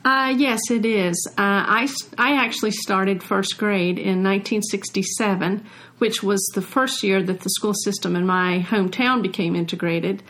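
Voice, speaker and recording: A woman's voice: mid-range voice, fairly even intonation, moderate pace, fairly bright tone. A woman in her sixties or older from North Carolina, telling personal stories conversationally as an author being interviewed. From North Carolina, United States.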